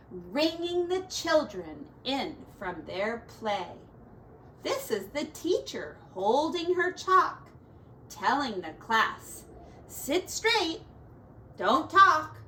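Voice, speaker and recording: A woman's voice: a little high, big pitch swings, drawn-out words, a bit bright. A woman teacher reading a picture book aloud to children in a sing-song, dramatic way. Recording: distant mic, faint background noise.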